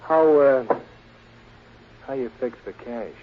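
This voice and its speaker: An actor playing a sly, greedy private detective, cagey and drawling, in a 1940s radio drama. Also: male voice, mid-range voice, slow, natural voice. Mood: cagey, bargaining. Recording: muffled, noisy 1940s radio recording.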